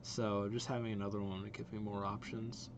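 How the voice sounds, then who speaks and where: A man's voice: fairly low pitch, level tone, a little fast, background noise. A young man hosting a game reaction video, giving casual, off-the-cuff commentary. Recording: faint game music behind voice. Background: game trailer music.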